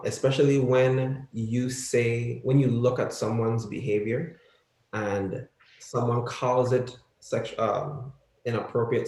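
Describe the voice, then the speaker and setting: A man speaking earnestly as a panelist, haltingly, repeating words as he thinks. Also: on the low side, gravelly, a little mellow. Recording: roomy echo.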